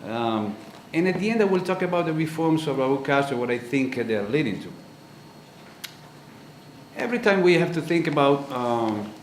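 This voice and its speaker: A man from Italy with an Italian accent, giving a conference talk on a panel, halting with frequent ums and uhs. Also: mid-range voice. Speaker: panel speaker. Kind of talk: conference presentation. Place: lecture auditorium. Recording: roomy hall with faint noise.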